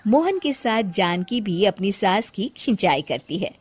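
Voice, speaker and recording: A woman's voice: mid-range voice, very animated, unhurried, loud music behind the voice. A woman storyteller reading scripted narration for a moral tale.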